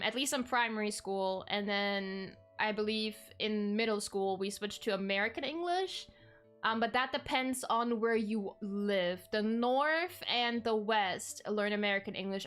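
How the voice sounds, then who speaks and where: A woman's voice: mid-range voice, fairly bright tone, smooth. A young woman from Germany, chatting casually with her stream viewers, rambling with frequent ums and self-corrections.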